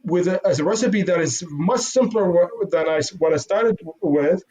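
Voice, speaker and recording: A man from Iraq, a modest interview guest who talks haltingly with many restarts. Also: high-pitched, warm tone, slight background noise. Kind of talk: casual interview. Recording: dull lo-fi sound.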